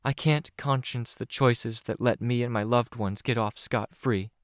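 A man's voice: medium pitch, fairly even intonation, a little slow, no echo, clean studio sound, voice pushed up, close to an everyday voice. A man voicing a distraught teenage girl who pleads with an emotional hitch in her voice. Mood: earnest, resolute.

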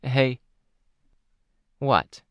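A sharp-tongued, defensive woman from a superhero audiobook, played by a man, lifted in pitch from his deep narration. She talks in a wry, blunt way. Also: extremely slow, clean tone, no room sound, clean studio sound. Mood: defensive, curt.

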